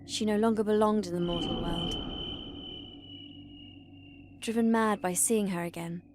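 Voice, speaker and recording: A woman's voice: medium pitch, fairly even intonation, measured pace, background noise, natural voice. A young woman with a British accent playing an earnest, exasperated, bookish and bossy teenage witch in a video game cutscene. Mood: solemn storytelling. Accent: British English. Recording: loud background music under voice. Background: music.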